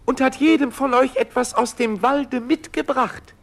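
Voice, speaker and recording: A man's voice: very high voice, a little slow, gravelly, exaggerated voice. An actor playing a sly wolf who mimics a sweet mother goat, coaxing and sugary, in a 1970s radio play. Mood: falsely sweet.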